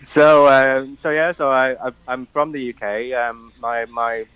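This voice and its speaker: A man from northwest England, a musician guest on a phone-in radio interview, talking hesitantly and softly with many ums. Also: mid-range voice, steady tone, unhurried, clean tone, no echo, low-quality recording.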